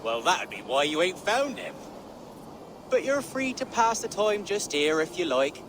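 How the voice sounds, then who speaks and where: Blunt higher-voiced village man. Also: sing-song, measured pace, noisy background. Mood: wry, folksy. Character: blunt, folksy English village commoner. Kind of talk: game cutscene acting.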